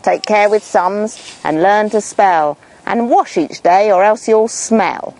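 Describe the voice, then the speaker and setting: A woman reading a rhyming storybook aloud to children in an expressive, theatrical way. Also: mid-range voice, slow pace, low-quality recording.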